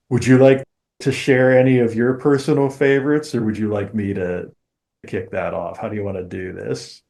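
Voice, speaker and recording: A man co-hosting a conversational podcast as an author, speaking in a measured, wordy way with rather formal phrasing. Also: lowish voice, a little mellow.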